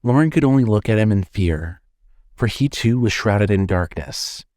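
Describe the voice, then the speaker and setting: A man's voice: fairly low pitch, animated, moderate pace, darkish tone, no room sound, clean studio sound. A man giving scripted narration as the narrator of a fiction podcast.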